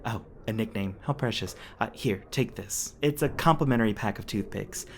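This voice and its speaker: Mild female hotel clerk for audio roleplay, performed by a man: soft, courteous, faltering, background noise. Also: fairly low pitch, normal speed, natural voice. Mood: mild, polite. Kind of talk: ASMR audio roleplay acting.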